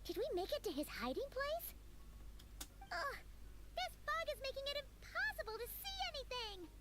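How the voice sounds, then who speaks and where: A tiny fairy girl chatters in a very high, bouncing voice. She is excitable and speaks in quick exclamations. The game recording sits over steady background noise. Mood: frustrated. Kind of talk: video game voice acting.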